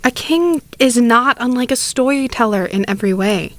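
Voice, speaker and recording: A woman's voice: on the high side, animated, normal speed, dry recording, close to an everyday voice. A woman playing a proud, persuasive mother urging her grown son on, in an audio drama. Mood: disbelieving, persuasive.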